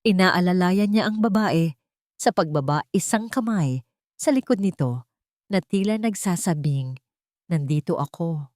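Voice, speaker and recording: A woman's voice: on the low side, expressive, measured pace, darkish tone, dry recording, studio quality. A woman with a Filipino accent reading a scripted dramatic story as narrator.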